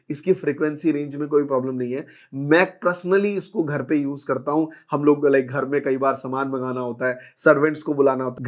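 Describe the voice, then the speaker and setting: An Indian man giving an informal, persuasive product demonstration, explaining things and mixing in English words. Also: on the high side. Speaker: product presenter and seller.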